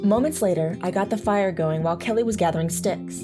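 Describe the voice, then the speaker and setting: A woman acting as a mother in an audio drama, both narrating and speaking in scenes. She is flustered and doubts herself, in a natural mid-pitched voice. Faint music plays behind her. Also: brisk, natural voice. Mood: reflective narration.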